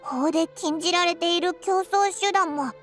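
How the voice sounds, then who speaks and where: A woman voicing a small, childlike floating fairy companion with a squeaky voice in an anime game. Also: normal speed, music in the background. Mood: reading aloud. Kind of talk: Japanese anime game voice acting.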